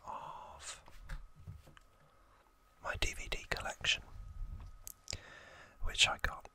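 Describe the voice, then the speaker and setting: Man whispering slowly, crisp and bright. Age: 30s. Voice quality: breathy unvoiced whisper. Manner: slow, hushed, gentle delivery with pauses. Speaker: host of an ASMR video channel. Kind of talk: ASMR trivia talk, whispered.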